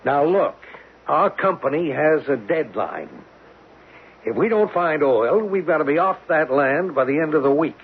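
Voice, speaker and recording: Blunt, animated oil company boss. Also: male voice, fairly high pitch, measured pace. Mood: objecting. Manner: blunt, insistent. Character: hard-nosed, impatient oil company boss, male. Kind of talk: 1970s radio drama acting. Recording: tinny, noisy old radio broadcast.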